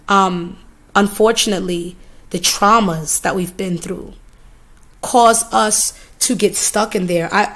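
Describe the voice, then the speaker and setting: A hoarse woman giving a live oracle card reading, talking casually with swearing and repeated phrases. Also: mid-range voice. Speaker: spiritual reader.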